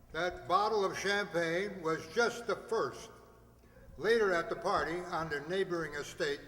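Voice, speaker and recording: A man's voice: high-pitched, a bit bright. A man delivering scripted old-time radio narration as the announcer of a play. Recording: echoey hall, long reverb.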